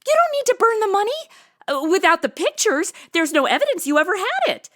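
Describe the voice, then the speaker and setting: A woman playing a sassy, slangy modern young woman in a comedy audio drama, flippant and excitable. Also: very high voice, quick pace, exaggerated voice. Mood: panicked. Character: sassy modern young woman dropped into old radio mysteries.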